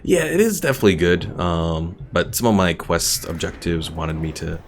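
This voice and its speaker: A man's voice: low-pitched, lively intonation, moderate pace, vocal fry, a little hiss or hum. A man giving casual, easygoing live game commentary, thinking aloud and reading out chat as a streamer.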